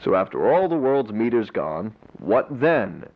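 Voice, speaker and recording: A man playing a polished, persuasive corporate pitchman narrating a scripted infomercial. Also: very animated, unhurried. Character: smooth-talking corporate pitchman for a meat substitute. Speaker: advertising narrator. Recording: dull lo-fi video audio over music. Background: music.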